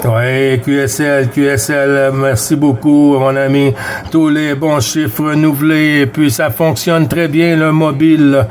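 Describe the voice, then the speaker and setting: Slow-talking Quebec man amid loud radio noise. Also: on the high side, level tone. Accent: Quebec French. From Quebec, Canada. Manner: repetitive, friendly greetings. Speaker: CB radio operator. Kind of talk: casual radio contact calls with call signs and greetings. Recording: very noisy radio with static and clicks.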